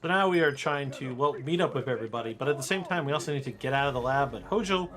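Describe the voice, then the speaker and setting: Male game streamer, chatty and informal, mid-pitched, live commentary over game music. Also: lively intonation, fast talker. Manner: informal, chatty, thanking viewers. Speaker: streamer, speedrunner. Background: video game music.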